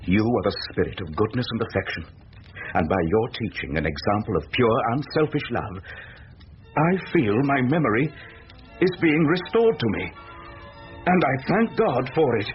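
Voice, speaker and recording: Man acting a troubled scholarly gentleman, solemn, formal, highly varied intonation, radio drama, muffled sound. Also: expressive, normal speed, soft background music, natural voice. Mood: grateful, moved. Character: troubled, remorseful, grave gentleman of learning. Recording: dull lo-fi radio recording with hiss.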